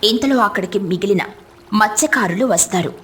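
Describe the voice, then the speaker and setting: A woman's voice: medium pitch, fairly quick, fairly bright tone. A woman storyteller reading scripted narration for a moral tale.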